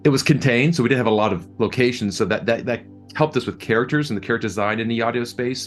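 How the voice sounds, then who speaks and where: A man answering interview questions as a producer, conversational and enthusiastic, often repeating words as he thinks. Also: deep voice. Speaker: film and audio drama producer being interviewed.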